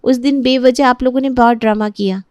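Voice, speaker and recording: A woman acts out a young pregnant daughter-in-law in a family storytelling drama. Her voice is high and recorded very dry. She speaks as a resentful, gossiping in-law nursing her grievances. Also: fairly even intonation, a little fast, a little mellow, dry recording, lightly acted. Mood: gossiping, aggrieved.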